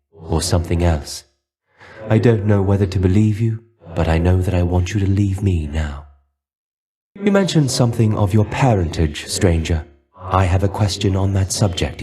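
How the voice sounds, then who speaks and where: An actor playing a melancholy, guarded shade of a fallen Greek warrior in a video game, speaking with dry resignation and formal, old-fashioned phrasing. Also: male voice, sits low. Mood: wary, curious. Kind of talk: dramatic video game character voice acting.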